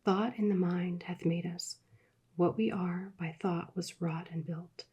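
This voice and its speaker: A woman reading aloud in a soft, soothing, soft-spoken ASMR style that often drops into whispers. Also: on the low side, steady tone, slightly rough, highs cut off. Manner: soft, slow, drifting into whispers. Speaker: ASMR reader.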